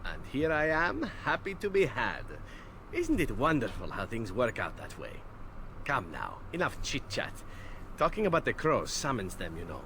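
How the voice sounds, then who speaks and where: An actor playing a suave, playful elven assassin in a video game, with a smooth, teasing, theatrical delivery. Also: male voice, medium pitch, wildly swooping pitch, moderate pace, sharp tone, a little hiss or hum, full character voice.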